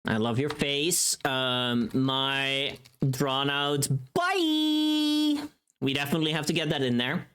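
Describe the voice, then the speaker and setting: A livestreamer with a mid-pitched, very animated voice chats casually to viewers. The delivery is playful and rambling, thinking out loud as ideas come, with loose, sweary language. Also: slow pace, clear, resonant tone. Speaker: livestreamer and voice pack creator.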